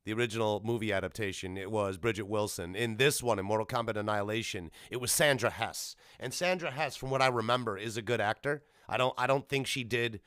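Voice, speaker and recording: Male podcast host, bright and punchy tone, dry sound. Casual, opinionated commentary, animated and sweary. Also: lowish voice, a little fast, hardly any pauses, smooth, no echo. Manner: animated, blunt, swears freely.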